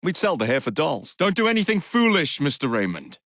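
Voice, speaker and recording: Cheeky young english gang boss from victorian london, video game, theatrical swings in pitch, close dry sound. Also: male voice, sing-song, a little fast, a bit bright, no echo. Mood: teasing, assertive. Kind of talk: dramatic video game dialogue lines.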